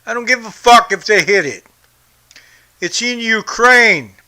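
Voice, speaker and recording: A man from the United States hosts his own podcast, delivering a blunt, profane political rant. His voice sits a bit high and sounds bright and forceful. He talks in a combative way that never lets up. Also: expressive, measured pace. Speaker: podcast host. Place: home in Brazil.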